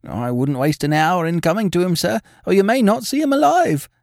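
A man's voice: sits high, expressive, fairly quick, vocal fry, no room sound, clean studio sound, pitched above the performer's own voice. A man voicing an anxious older landlady, pleading in an urgent, deferential and distressed manner.

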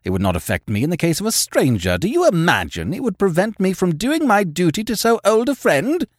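A man's voice: high-pitched, huge pitch swings, fairly quick, dry recording, studio quality, voice pushed up, full character voice. A man voicing a loyal doctor, an earnest and dismayed old friend who protests with feeling. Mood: indignant, loyal.

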